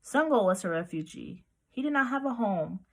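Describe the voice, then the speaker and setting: A woman reading a children's picture book aloud as narrator, with expressive storytelling. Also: medium pitch, normal speed.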